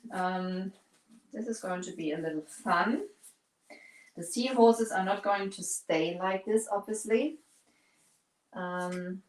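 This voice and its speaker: A woman's voice: mid-range voice, roomy sound. German woman chatting through a paint demo. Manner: searches for words, restarts often, chats to viewers. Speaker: painting livestream host. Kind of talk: casual live painting demo.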